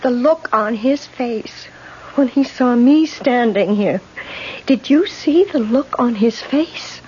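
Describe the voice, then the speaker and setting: An actress playing a shaken, fearful widow who runs a boarding house, in halting 1970s radio drama style. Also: female voice, on the high side, dark tone, slight background noise. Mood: stunned. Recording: muffled old radio drama broadcast.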